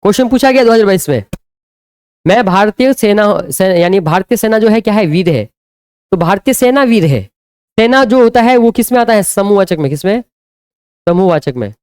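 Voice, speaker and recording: A man's voice: high voice, lively intonation, a little fast, a little mellow, clean tone. A man teaching a live online grammar lesson, quizzing students with repeated questions and answering them himself.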